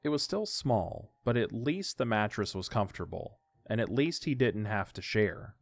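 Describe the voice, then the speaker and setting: A man's voice: on the low side, lively intonation, moderate pace, creaky, no echo. A man reading scripted story narration as the narrator of an audiodrama.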